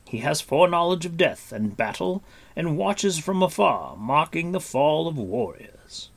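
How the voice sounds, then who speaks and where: A man playing a noble, wise warrior lord who speaks formally with measured authority in a storybook reading. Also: fairly high pitch, big pitch swings, vocal fry, pitched above the performer's own voice, heavily acted. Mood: grave, musing. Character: noble, wise, commanding warrior lord, grave but gentle.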